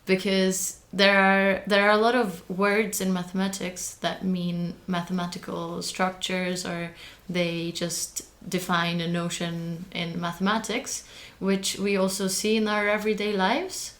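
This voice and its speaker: A woman's voice: on the low side, little pitch movement, measured pace, hardly any pauses, fairly bright tone. Earnest young woman, Hungarian native speaker. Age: young adult. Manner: careful, earnest, with frequent hesitations. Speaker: guest, early career mathematician. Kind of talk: podcast interview.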